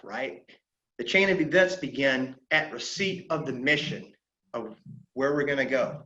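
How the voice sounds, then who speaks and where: A man from South Carolina leads an online flight safety lesson. His voice sits fairly high, and he talks in an earnest, halting way with many fillers. The audio is dull and lo-fi, with a roomy echo. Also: bright, forceful tone. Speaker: flight instructor presenting a safety webinar. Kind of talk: webinar presentation. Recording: dull lo-fi audio in an echoey room.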